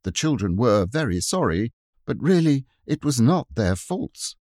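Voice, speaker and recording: A man narrating a children's classic audiobook in an expressive, measured storytelling manner. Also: medium pitch, dry recording, clean studio sound. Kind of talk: scripted narration.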